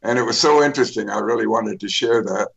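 An older man, an author, telling his life story in a radio interview, pausing often with fillers and restarts. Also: lowish voice, gravelly, dull audio. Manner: rambling, many fillers and restarts. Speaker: author guest.

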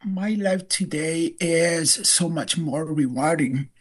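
Older woman guest author, husky and unhurried, hesitant emotional delivery. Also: low-pitched. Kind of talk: personal interview.

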